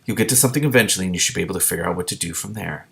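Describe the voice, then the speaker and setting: Storybook witch woman, played by a man, brisk, thoughtful and knowing, giving instructions in a low register. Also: creaky, pushed and bright, full character voice.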